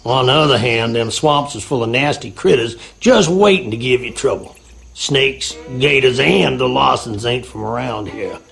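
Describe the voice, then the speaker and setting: An actor playing a folksy, skeptical handyman in a video game, with a gravelly Southern US drawl. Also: medium pitch, moderate pace, fairly bright tone, faint music behind. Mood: wry, folksy.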